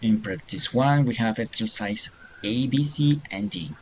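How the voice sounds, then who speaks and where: A man's voice: medium pitch, lively intonation, measured pace, creaky. A middle-aged man teaching a beginner English class online, patiently encouraging students and reading answers aloud.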